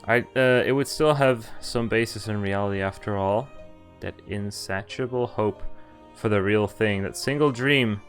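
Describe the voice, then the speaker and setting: A man with a fairly low voice reads a visual novel aloud as a streamer. He narrates the story and sometimes stumbles over a word. Game music plays faintly underneath. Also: moderate pace. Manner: stumbles over words while reading.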